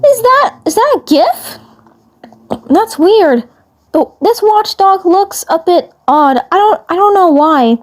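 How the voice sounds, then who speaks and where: A high, frightened cartoon boy, acted out by a woman. He sounds bewildered and panicky, tripping over his words and repeating phrases as he goes. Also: animated, takes it slowly, heavily acted. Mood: startled, puzzled.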